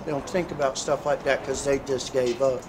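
A man's voice: mid-range voice, steady tone, a little fast, noisy background. A man in a casual street interview who tells his story in an earnest, preaching way, filling his talk with "you know.".

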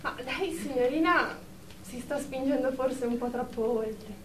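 A woman's voice: high voice, a little slow. A woman playing a nervous, evasive young shop assistant being questioned in therapy, hesitant and defensive, in a radio drama. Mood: cautious, hesitant. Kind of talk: Italian radio drama acting. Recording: roomy, distant mic, faint noise.

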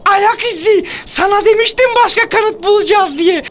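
A woman voicing a bossy, excitable young cartoon chick who is hungry for adventure and exclaims eagerly and theatrically. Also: very high voice, normal speed. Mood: excited, insistent.

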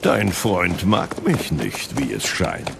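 A man voicing a solemn, watchful dragon with a deep, gravelly voice in a fantasy audio drama. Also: sing-song, slow pace, full character voice. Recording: clear background noise.

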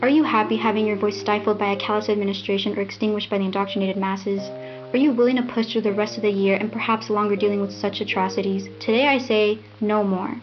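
A woman's voice: medium pitch, fairly even intonation, fast, music in the background, lightly acted. A young woman playing an earnest, defiant teenage student giving a scripted campaign speech. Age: young adult. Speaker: election candidate. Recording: dull lo-fi 1990s VHS sound.

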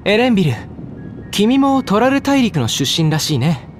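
A polite, inquisitive young scholar from a game. His voice is high and lively, rising and falling as he asks questions. Soft music plays behind him. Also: normal speed. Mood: curious.

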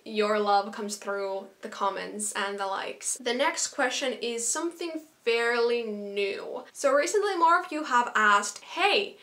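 A young woman with a Finnish accent talks straight to a camera set close in front of her in a small bedroom. Her voice sits a little high, and her manner is chatty and earnest. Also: fairly bright tone. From Finland. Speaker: YouTuber. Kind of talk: casual talk to camera. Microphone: camera in front at close range.

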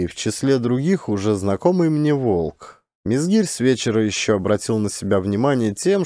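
Man narrating with lively, expressive intonation. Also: mid-range voice, dry recording, studio quality. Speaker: audiobook narrator, actor. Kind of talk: dramatic literary audiobook narration.

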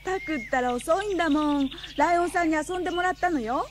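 A woman's voice: very high voice, quick pace. An actress playing a carefree, girlish young woman who is unafraid, unruffled in a radio drama. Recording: noisy animal ambience behind.

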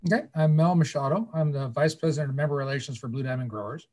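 A man's voice: on the high side, fast, mellow. A man in his sixties from California, telling his story in a casual, plainspoken way with wry asides, speaking into a webcam from a small office. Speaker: guest panelist. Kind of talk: casual storytelling on a video call. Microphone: video call webcam.

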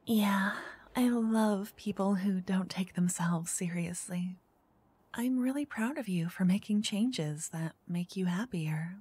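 A woman doing a soft-spoken ASMR roleplay as a flirty dungeon master. She teases, then whispers close into each ear in turn. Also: lowish voice, slightly rough. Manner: teasing, flirty, switching between whispers and soft speech. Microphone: close binaural mic.

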